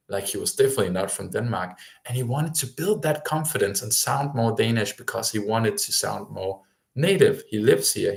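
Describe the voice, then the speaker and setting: A man's voice: on the low side, gravelly, reverberant. A man coaching language learners in a livestream, talking with rambling enthusiasm and lots of fillers. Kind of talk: livestream talk to viewers.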